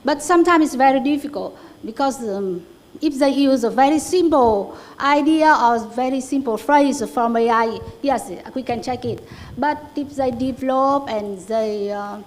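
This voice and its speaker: A woman who works as a journal editor-in-chief, speaking hesitantly at a conference discussion, often pausing and restarting. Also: high-pitched, low-quality recording. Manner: hesitant, many fillers and restarts. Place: conference hall.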